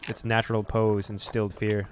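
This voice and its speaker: A man reading a horror visual novel aloud as a gaming host, with expressive storytelling and casual delivery. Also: fairly low pitch, moderate pace, a little hiss or hum.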